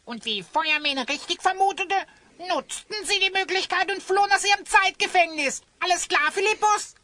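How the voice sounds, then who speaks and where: A woman's voice: very high-pitched, moderate pace, pushed and bright, no room sound. A woman playing a cheeky, mocking little creature sidekick in an audio drama. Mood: eager explaining. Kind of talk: German audio drama acting.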